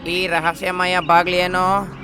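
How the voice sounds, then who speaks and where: A man's voice: high-pitched, monotone, slow, music in the background. A man voicing a curious, suspicious little parrot in a cartoon story.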